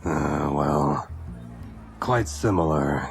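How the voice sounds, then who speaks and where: An actor in an audio drama playing a gruff, dry-witted barkeep with a curt, grudging way of talking. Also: male voice, extremely low pitch, very slow, soft background music, full character voice. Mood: wry, hesitant. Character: gruff, dry, grumbling male fantasy barkeep.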